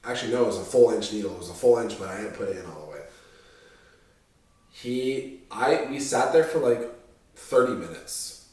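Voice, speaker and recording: A man's voice: lowish voice, lively intonation, moderate pace, a little mellow. A man in his twenties, stuffy and nasal from a sinus infection, talking casually to his viewers in a laid-back, rambling way with lots of ums. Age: 20s. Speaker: bodybuilding vlogger. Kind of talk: casual talk to camera. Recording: very echoey room, mic at a distance.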